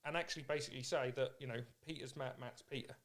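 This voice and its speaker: A man's voice: medium pitch, steady tone, quick pace, a bit bright. A man hosting a podcast in casual interview chat, talking in an encouraging, matey way. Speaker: podcast host.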